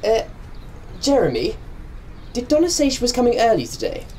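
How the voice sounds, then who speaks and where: Man playing a meek, timid British gentleman. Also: extremely high pitch, huge pitch swings, normal speed, a little hiss or hum, heavily acted. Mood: sheepish. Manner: hesitant, meek, polite. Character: timid, devoted, inept posh brother, anxious and apologetic. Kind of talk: radio comedy acting.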